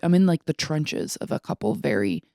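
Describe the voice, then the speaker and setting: Low-voiced young woman with vocal fry. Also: animated, normal speed, dark tone, no echo, studio quality. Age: 20s. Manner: informal, peppered with "like". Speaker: podcast co-host. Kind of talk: casual podcast chat about books.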